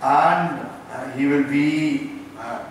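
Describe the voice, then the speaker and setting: A man's voice: mid-range voice, slow, a little hiss or hum. A man with a British accent, an imam giving an Islamic lecture and teasing his listeners with asides. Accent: British English. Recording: echoey room, voice from far off.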